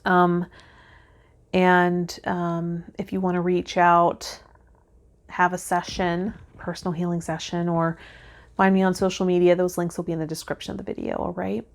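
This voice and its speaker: Female tarot vlog host, lowish voice, spiritual guidance addressed straight to viewers. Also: level tone, normal speed. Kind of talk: spiritual guidance, part read aloud, part casual talk to viewers.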